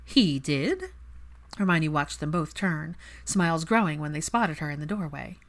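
A woman's voice: sits low, normal speed. A woman reading a story aloud in a scripted audiobook style, as narrator of fan fiction.

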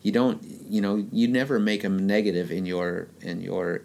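Deep-voiced, slangy enthusiastic interviewer. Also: male voice, moderate pace, gravelly, a little mellow, slight background noise. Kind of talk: casual interview chat.

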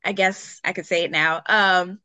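A young woman from Nigeria speaks as a podcast guest in easy, chatty conversation. Her voice is bright and a little forceful, and she laughs often. The pitch sits somewhat low, and the sound is dry and close. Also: steady tone, moderate pace, clear, resonant tone, dry recording. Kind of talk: casual chat.

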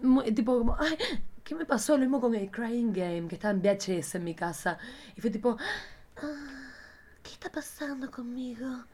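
A woman with a Rioplatense Argentine accent co-hosting a podcast, chatting casually and candidly in an expressive, slangy way. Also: fairly high pitch, normal speed. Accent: Rioplatense Argentine Spanish. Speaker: podcast co-host. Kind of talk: casual, candid chat about films.

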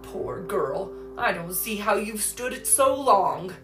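Woman voicing a slow, downcast sick boy. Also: mid-range voice, expressive, roomy sound, recorded from a distance, over music. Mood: weary, sympathetic. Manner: downcast, heavy delivery. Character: weary, despondent, kindly sick boy in bed. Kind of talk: storybook character voice.

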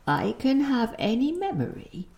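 A woman's voice: high-pitched, sing-song, a little slow, darkish tone, muffled recording, exaggerated voice. Woman playing a forgetful, indignant grandmother, roomy sound. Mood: anxious, insistent. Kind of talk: audio play acting.

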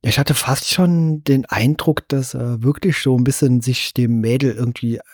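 A man's voice: mid-range voice, fairly even intonation, normal speed, a little mellow, breathy edge, dry recording, studio quality. A man hosting a casual film discussion, searching a little for his phrasing. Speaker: podcast host.

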